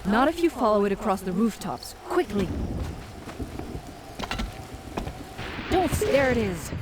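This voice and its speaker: A woman's voice: medium pitch, big pitch swings, normal speed, very noisy, close to an everyday voice. An actress playing a sly, cynical female spirit guide who teases and commands in a fantasy video game. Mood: urgent. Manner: teasing, cool, bossy.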